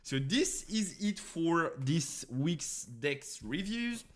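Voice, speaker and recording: A man's voice: on the high side, sing-song, slow pace. A young man from France with a French accent, streaming casually and chatting with viewers about card game decks, rambling with many fillers and restarts.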